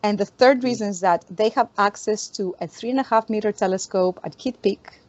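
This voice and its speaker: Woman astronomer on a video call, lively storytelling, laughs a lot, dry muffled sound. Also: mid-range voice, a little fast, no room sound. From Greece. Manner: animated storytelling, laughs often. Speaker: astronomer, guest. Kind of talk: interview answers.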